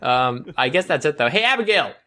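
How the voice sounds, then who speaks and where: A man's voice: on the high side, very animated, a little fast, pushed and bright. A man hosting a casual chat in a playful, teasing way with dry humour. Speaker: podcast host.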